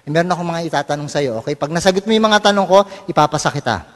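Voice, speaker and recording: A man's voice: fairly high pitch, expressive, racing. A man with a Filipino accent performs a comic stage dialogue as a teacher, questioning insistently and with some exasperation. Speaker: puppeteer comedian playing a teacher. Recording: echoey hall, faint music.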